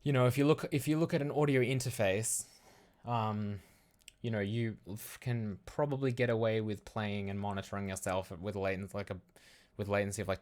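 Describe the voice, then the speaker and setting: A man's voice: lowish voice, darkish tone. An Australian man chatting casually as a livestream host, rambling loosely and thinking aloud. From Melbourne, Australia.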